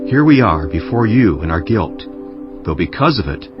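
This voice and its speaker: A man narrating dramatized scripture in a solemn, measured manner. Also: deep voice, very animated. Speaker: Bible narrator. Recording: dull audio under music. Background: prominent background music.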